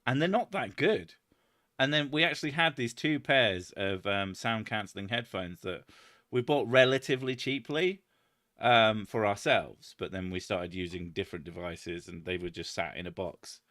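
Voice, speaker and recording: A man hosting a casual chat, loud and booming, swearing freely as he answers viewer comments. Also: moderate pace, no echo, clean studio sound. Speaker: channel host.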